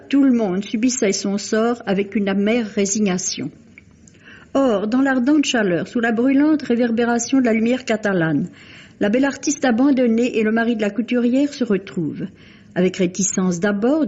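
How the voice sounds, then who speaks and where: A woman's voice: a little high, darkish tone, husky. A woman reading a scripted literary book review on the radio in formal, carefully phrased language. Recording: muffled lo-fi vintage radio recording.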